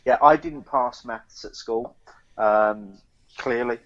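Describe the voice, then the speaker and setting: A man with a middling-pitched voice chats casually as a podcast co-host. He speaks haltingly, repeating words while he thinks aloud. The recording is a little dull and lo-fi. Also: gravelly. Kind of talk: casual chat, beer review.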